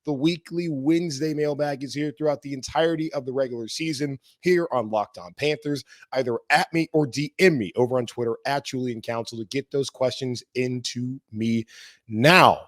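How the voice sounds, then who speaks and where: Hoarse man, casual sports podcast host, mid pitch, dry booth. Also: moderate pace, no echo. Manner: casual, rambling.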